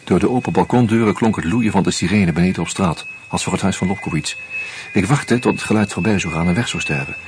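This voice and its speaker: A man reading a first-person novel aloud in a calm, measured, subdued way. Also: low-pitched, slight background noise. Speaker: narrator.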